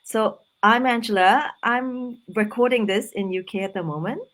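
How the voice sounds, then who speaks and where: A woman's voice: on the high side, moderate pace, a bit bright. A woman in her forties, from Singapore, hosting a casual live online chat in a friendly, conversational way with fillers. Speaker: host.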